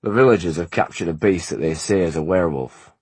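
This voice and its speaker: A stern monk, as a narrator reads him in a storybook, low and husky, formal and firm. The reading is dry and close. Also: male voice, quick pace, darkish tone, dry recording. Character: stern middle-aged male monk clerk.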